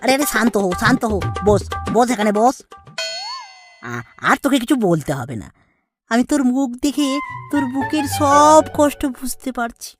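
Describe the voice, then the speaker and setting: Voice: mid-range voice, expressive, normal speed, lightly acted. A performer voicing a sly fake holy man in a comedy cartoon, pompous and coaxing. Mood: soothing, grand. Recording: music behind the voice.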